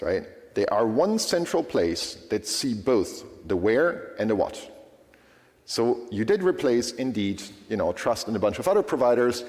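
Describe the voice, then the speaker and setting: A man whose first language is German, giving a lively, conversational tech conference talk and often checking in with the audience. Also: medium pitch. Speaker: conference speaker. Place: large conference hall. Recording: long hall reverb.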